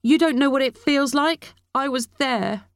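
Woman voicing a high-pitched, defiant, upset teenage girl. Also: a little slow, no echo, studio quality. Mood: hurt, defiant. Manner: defiant, wounded outbursts.

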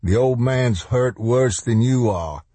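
A man voicing a huge, practical survivor with a blunt, steady manner in an audiobook. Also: mid-range voice, slow pace, no echo, voice pushed up. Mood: stern.

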